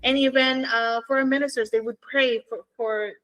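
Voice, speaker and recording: A woman's voice: sits high, steady tone, moderate pace, a bit bright, rough recording. A woman chef and culinary teacher sincerely sharing a story as a radio guest.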